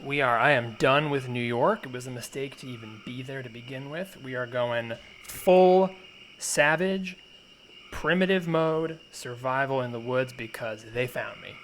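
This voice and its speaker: A man improvising a comedy scene as a paranoid survivalist general, halting but commanding. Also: medium pitch, a bit bright. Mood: paranoid resolve. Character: paranoid survivalist general rallying his militia boys. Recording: noisy woodland soundscape behind voice.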